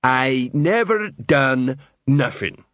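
A man voicing a hulking, suspicious troll in an audiobook, sullen and slow-witted, with an ungrammatical growl. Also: mid-range voice, lively intonation, a bit bright, no room sound. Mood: sullen, defensive. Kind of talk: audiobook character voice.

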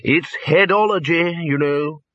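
A man plays a self-satisfied wizard lecturer from a comic fantasy tale. The voice is raised and bright, explaining with eager pride, on a slightly muffled recording. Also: on the high side, sing-song, unhurried, breathy edge, no room sound, pitched above the performer's own voice, heavily acted. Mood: smugly triumphant. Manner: pleased with himself, explanatory. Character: eager, smugly clever male wizard lecturer.

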